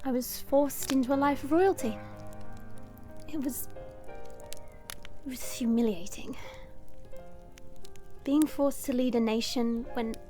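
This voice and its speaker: A woman's voice: sits high, slow pace, long pauses, dark tone, soft background music. A woman playing a proud, burdened young dragon princess in an audio roleplay, with formal, regal diction. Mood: solemn, wistful.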